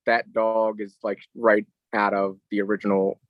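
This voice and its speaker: A man's voice: fairly low pitch, level tone, normal speed, dry recording, lo-fi sound. A man co-hosting a podcast, chatting casually about films and games. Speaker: podcast co-host.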